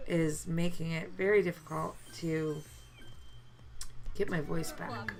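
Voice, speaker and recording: Gravelly-voiced woman narrating a mobile game. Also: low-pitched, steady tone, slow pace. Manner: chatty, mildly complaining, lots of fillers. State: deepened voice from a chest cold. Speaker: let's play gamer. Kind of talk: casual gameplay commentary.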